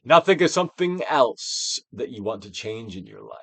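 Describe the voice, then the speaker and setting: A man giving a theatrical, Shakespearean-style dramatic reading of a self-help book, with deliberate pauses. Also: mid-range voice, wildly swooping pitch, creaky, breathy edge, no echo. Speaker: audiobook reader, actor.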